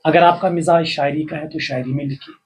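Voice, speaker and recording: An older man with a Kashmiri accent, a poet and writer giving earnest, formal advice in a slow, measured way. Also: fairly high pitch, fairly even intonation. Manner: formal, measured, slow recitation with rising emphasis. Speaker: poet and writer, interview guest. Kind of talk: reciting verse, then earnest advice.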